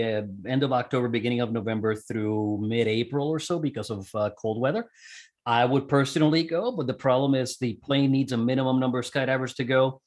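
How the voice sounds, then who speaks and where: Casual storytelling man from Puerto Rico. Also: mid-range voice. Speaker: interview guest, amateur radio operator and skydiver.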